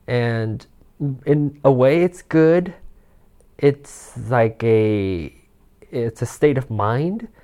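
A man's voice: medium pitch, dark tone. A man of forty hosting a solo podcast in a casual, rambling way, with fillers, restarts and frequent chuckles. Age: 40s. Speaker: podcast host. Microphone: handheld or desk mic with rustle.

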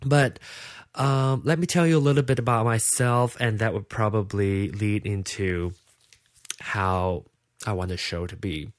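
A man's voice: lowish voice, steady tone, unhurried, no echo, studio quality. A sleepy man with a Malaysian accent, a native Hokkien speaker, hosting a casual unscripted podcast in a hesitant, rambling way. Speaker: podcast host.

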